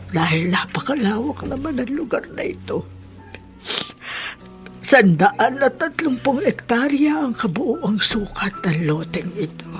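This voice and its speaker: Slow, plaintive older Filipino aunt. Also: female voice, fairly high pitch. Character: older aunt, cured former leprosy patient, gentle and resigned. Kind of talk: Filipino radio drama acting.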